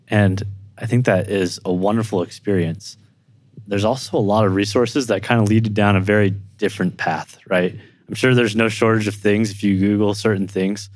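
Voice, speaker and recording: A man hosting a podcast in a conversational interviewing style, affirming and checking in with his listeners as he goes. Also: lowish voice. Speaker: podcast host.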